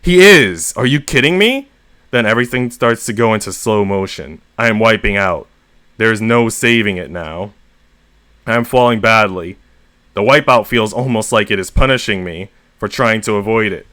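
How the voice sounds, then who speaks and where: A man reading first-person short stories aloud as host, with expressive emphasis on the narrator's exasperation. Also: on the low side, normal speed, no echo. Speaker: story reader and host.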